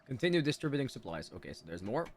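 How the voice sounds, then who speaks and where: A man's voice: medium pitch, very animated, very fast, soft background music. A man giving casual live commentary on a game, playful and reactive, with muttered asides. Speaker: game streamer.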